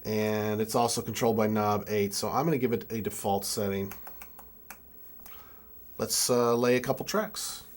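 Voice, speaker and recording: A man in his twenties giving a casual hands-on tutorial, thinking aloud in a halting way as he works. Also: fairly low pitch. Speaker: music keyboard tutorial presenter.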